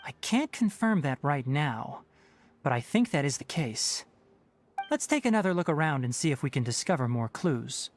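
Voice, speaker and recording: A man's voice: on the high side, big pitch swings, normal speed, noisy background. A man voicing a young fox-eared forest ranger and scholar in a video game, precise and a little curt, explaining things in a clipped, matter-of-fact, lecturing way. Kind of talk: video game cutscene dialogue.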